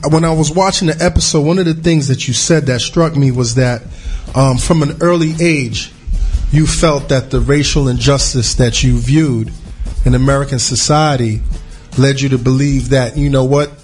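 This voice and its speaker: A man's voice: mid-range voice, steady tone, moderate pace, darkish tone, faint music behind. A man hosting a casual studio podcast interview, talking loosely with many restarts and repeated words. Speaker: podcast host.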